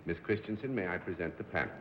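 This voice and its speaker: A man moderating a television panel show, with polished, courtly and gently wry hosting. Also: low voice, lively intonation, quick pace, a little hiss or hum. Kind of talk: formal, genial game-show hosting. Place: television studio with live audience. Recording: dull 1960s television broadcast audio.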